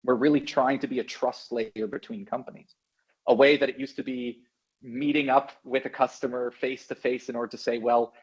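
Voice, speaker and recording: Husky man with an American-sounding accent. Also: medium pitch, fairly even intonation, moderate pace. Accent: American-sounding English. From Belgium. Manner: upbeat, chatty, self-deprecating. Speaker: channel business manager presenting a product. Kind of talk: product presentation. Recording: dull lo-fi sound.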